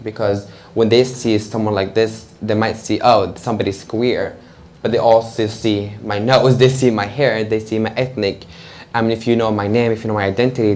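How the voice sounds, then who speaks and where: A man's voice: on the low side, a little hiss or hum. Candid young man. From Georgia. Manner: animated, candid, frequent fillers and laughter. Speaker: panel guest. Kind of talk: live panel conversation. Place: event room with audience.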